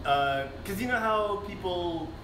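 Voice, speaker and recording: A man's voice: high-pitched, lively intonation, measured pace. A young man from the Philippines, co-hosting a casual live stream, rambling with lots of fillers and restarts. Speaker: streamer and co-host. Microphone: phone. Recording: echoey room, clear background noise.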